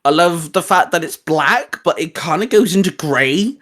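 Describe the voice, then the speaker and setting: A man's voice: fairly high pitch, lively intonation, moderate pace, fairly bright tone. A man co-hosting a casual geek chat, talking in a hesitant, rambling, informal way with bits of slang. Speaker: co-host of a geek culture show. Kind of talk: casual chat, reviewing video game designs.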